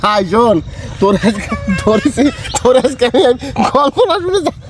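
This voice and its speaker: A man playing a compulsive, excitable village storyteller in a comedy skit, insistent and repetitive, with a rural Bangladeshi Bengali accent. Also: very high voice, moderate pace. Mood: boastful, animated. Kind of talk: comedy skit acting with colloquial dialogue. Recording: clearly noisy recording with clicks.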